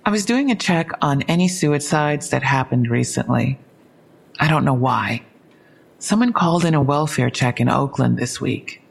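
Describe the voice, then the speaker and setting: Frank female investigator, husky, heavy vocal fry, matter-of-fact, wry, audio drama. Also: a bass voice, expressive, moderate pace, full character voice. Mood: matter-of-fact, probing. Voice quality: husky, creaky. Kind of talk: full-cast audio drama dialogue.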